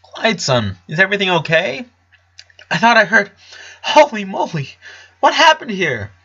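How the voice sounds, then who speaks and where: A man's voice: high voice, big pitch swings, measured pace, pushed and bright, husky, dull audio, pitched above the performer's own voice, exaggerated voice. A man voicing a worried, caring father in a dramatic story reading. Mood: alarmed, concerned. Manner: concerned, fussing.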